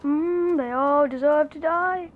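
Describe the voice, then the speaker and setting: A woman streaming a video game with friends, talking in loud, playful banter and repeating catchphrases. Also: high voice, takes it slowly, phone-quality sound, a little hiss or hum. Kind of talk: casual gaming banter.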